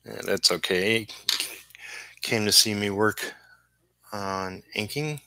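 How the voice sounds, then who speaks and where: A man chatting casually on a livestream as an artist, halting and apologetic, often muttering to himself. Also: lowish voice, fairly even intonation, vocal fry, bright, forceful tone, dry recording, low-quality recording. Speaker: comic book inker livestreaming his work.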